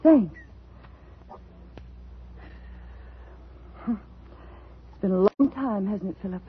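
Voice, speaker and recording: A woman's voice: medium pitch, a little slow, husky. An actress playing a brittle, intense woman scriptwriter unsettled after illness, in a 1950s radio drama. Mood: uneasy, insistent. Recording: muffled, lo-fi old radio recording.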